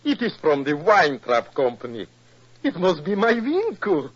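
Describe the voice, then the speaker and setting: An actor playing a genial, proud foreign émigré with a foreign accent and flowery manner in a 1940s radio drama. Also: male voice, on the high side, huge pitch swings, measured pace, husky, exaggerated voice. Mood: effusive, genial. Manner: effusive, flowery. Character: genial, proud foreign émigré from fictional Balkan-style country. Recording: muffled vintage radio recording.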